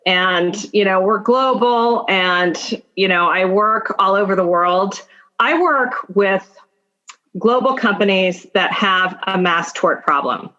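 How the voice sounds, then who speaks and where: A woman's voice: medium pitch, slow pace, sharp tone. Woman in her fifties, dull lo-fi audio. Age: 50s. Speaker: interview guest, lawyer. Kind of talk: conversational personal storytelling.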